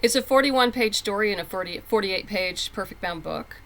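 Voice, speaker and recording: A woman's voice: mid-range voice, expressive, brisk, a bit bright, poor audio. A middle-aged woman talking casually as an interview guest, chatty and candid with emphatic phrasing. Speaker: podcast guest, comic book writer.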